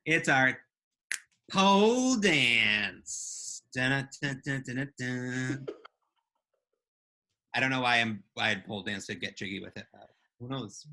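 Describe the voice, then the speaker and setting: A woman's voice: a bass voice, expressive, takes it slowly, long pauses, bright, forceful tone. A woman co-hosting a casual live podcast chat in a playful way. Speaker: podcast co-host.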